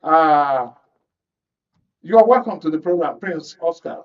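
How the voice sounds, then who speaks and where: A man's voice: a little high, expressive, a little slow. A man with a Nigerian accent presenting live as host of a radio and television show. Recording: distant mic, slightly muffled sound.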